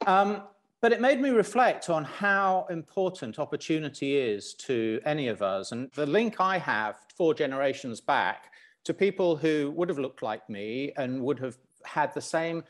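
A man from Zambia as a podcast guest, talking reflectively with many pauses and restarts. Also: fairly high pitch, expressive. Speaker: guest, business founder. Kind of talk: podcast interview.

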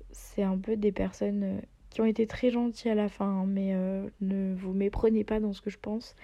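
A woman's voice: mid-range voice, gravelly, warm tone. A young French-speaking woman who teaches yoga, chatting casually with lots of fillers and often dropping to a near whisper. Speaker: yoga teacher and podcast host. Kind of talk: casual spoken travel diary. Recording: slightly dull lo-fi sound.

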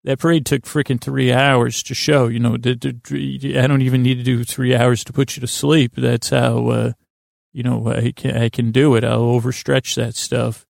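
A man hosting a sleep podcast in a meandering monologue, stumbling over words, circling back and gently goofy and self-deprecating. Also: medium pitch, little pitch movement, normal speed, gravelly, dry recording, studio quality. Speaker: podcast host.

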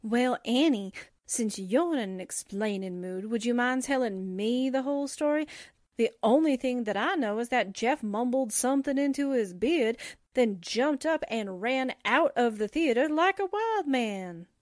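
Actress doing wisecracking 40s radio sidekick gal, kinda high voice, folksy, dry studio sound. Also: female voice, lively intonation, a little slow, darkish tone, dry recording. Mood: curious. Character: wisecracking, slightly slow-to-catch-on woman friend, 1940s radio. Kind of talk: 1940s radio drama acting.

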